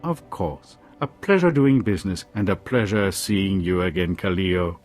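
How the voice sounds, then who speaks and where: A man acting a smooth, menacing arms dealer in a video game, oily and businesslike. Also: deep voice, normal speed, soft background music, lightly acted. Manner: oily, businesslike, veiled threats.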